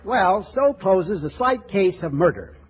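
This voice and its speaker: A man's voice: sits high, sing-song, measured pace. A man hosting a radio show in a 1940s presenting style. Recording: dull, noisy 1940s radio recording.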